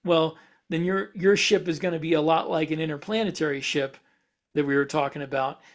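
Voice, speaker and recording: A man's voice: on the high side, fairly even intonation, a little fast. A man co-hosting a podcast, talking through ideas in a casual, explanatory way as he thinks aloud. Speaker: podcast co-host. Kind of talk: casual discussion of speculative science for fiction.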